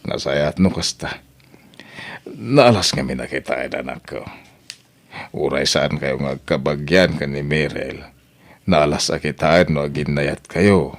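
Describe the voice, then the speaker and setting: A man's voice: a bass voice, measured pace, vocal fry, long pauses, heavily acted. An actor playing a devoted, sentimental elderly father in an audio drama, with an Ilocano accent. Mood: earnest, tender.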